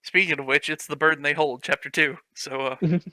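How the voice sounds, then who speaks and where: A man's voice: a little high, fairly even intonation, a little fast, sharp tone, dry recording. A young man reading a fanfic aloud as a comedy host, sarcastic and swearing, mocking the text as he goes.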